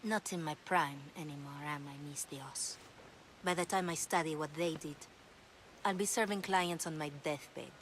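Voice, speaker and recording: A woman's voice: low-pitched, moderate pace, slight background noise, lightly acted, rough recording. A woman playing a worldly, past-her-prime prostitute with a teasing, cynical manner in a video game. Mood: wry, cynical. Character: worldly, wry aging female prostitute in ancient Greece. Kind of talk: video game cutscene acting.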